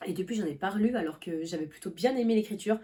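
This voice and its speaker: Chatty young woman with a mellow voice. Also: medium pitch, fast. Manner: chatty, rambling, many fillers and restarts. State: coughing now and then. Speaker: book vlogger. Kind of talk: casual chat.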